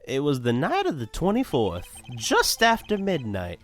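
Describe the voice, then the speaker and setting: A man voicing a forgetful, folksy old boat renter as a halting, rambling witness in an amateur game dub. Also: fairly high pitch, huge pitch swings, slight background noise. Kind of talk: amateur video game fandub acting.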